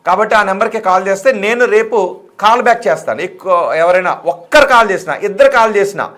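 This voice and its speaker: A male live TV debate host with a notably high voice. He talks rapidly and insistently, circling back to repeat his appeals, and drops English words into his speech. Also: fairly bright tone. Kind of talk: live call-in debate, addressing viewers.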